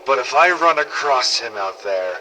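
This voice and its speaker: An actor playing a foul-mouthed, cynical zombie-apocalypse survivor, narrating a wry audio diary through an old cassette recorder filter. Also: male voice, medium pitch, expressive, moderate pace. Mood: angry, exasperated. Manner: sweary, sardonic, world-weary storytelling. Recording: old cassette tape with faint noise.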